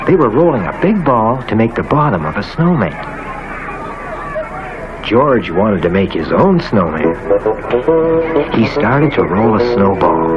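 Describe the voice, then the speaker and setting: A man narrating a children's cartoon story in an expressive, scripted storytelling manner. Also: fairly low pitch, background noise. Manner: expressive storytelling, acts out the characters' quotes. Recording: dull lo-fi old cartoon soundtrack, loud music.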